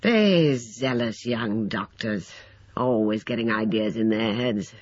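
A male narrator playing an aged, irritable country doctor with a gruff, dismissive manner, on a dull lo-fi recording. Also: on the low side, takes it slowly, no room sound.

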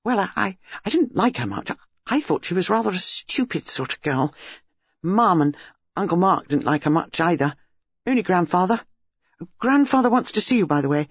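A man voicing an excited, talkative boy of about nine who stammers and chatters eagerly. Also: high-pitched, normal speed, slightly rough, no room sound, exaggerated voice. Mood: chatty. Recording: dull, dry lo-fi recording.

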